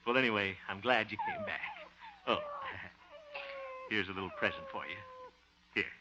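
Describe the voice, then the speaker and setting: A man's voice: medium pitch, huge pitch swings. A man playing a bumbling, good-hearted fellow in a 1950 radio sitcom, sentimental and prone to chuckling. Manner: sentimental, chuckling, drawn-out delivery. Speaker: lead comic actor and show host. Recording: muffled noisy 1950 radio broadcast.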